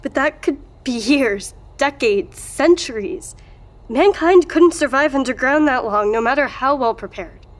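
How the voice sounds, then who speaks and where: Woman acting as high-voiced, skeptical, worried questioner. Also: lively intonation, measured pace. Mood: insistent. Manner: earnest, questioning, argumentative. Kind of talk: audio drama dialogue.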